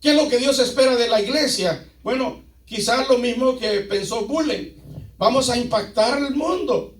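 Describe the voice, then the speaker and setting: High-voiced Latin American man preaching, echoey room. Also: moderate pace. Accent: Latin American Spanish. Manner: emphatic, asks rhetorical questions, repeats phrases for emphasis. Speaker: pastor preaching. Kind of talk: sermon to a congregation. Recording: echoey room, picked up from a distance.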